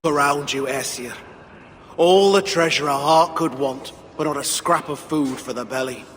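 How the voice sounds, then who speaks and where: In a long reverb with faint noise, a man plays a downtrodden dwarf refugee for a game cutscene. His voice sits somewhat high for a man, sombre and plaintive, with weary bitterness. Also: normal speed, fairly bright tone, natural voice. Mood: resigned. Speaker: dwarf character.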